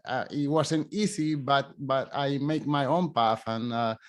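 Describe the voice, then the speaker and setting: A middle-aged man with a Spanish accent, a podcast host telling his own story in a halting way, with many fillers and restarts. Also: medium pitch. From Spanish-speaking country. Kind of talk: casual interview chat.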